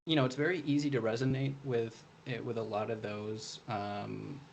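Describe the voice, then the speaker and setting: A man's voice: mid-range voice, fairly even intonation, moderate pace, vocal fry, slight background noise. A young man answering interview questions casually as an author, hesitant and padding his explanations with "you know". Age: young adult. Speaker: debut author being interviewed.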